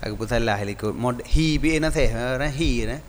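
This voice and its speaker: A man's voice: mid-range voice, fairly quick, slight background noise. Acehnese male teacher in a reverberant space. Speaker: Islamic teacher. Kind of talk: religious text lesson, reading aloud and explaining. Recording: reverberant hall-like echo.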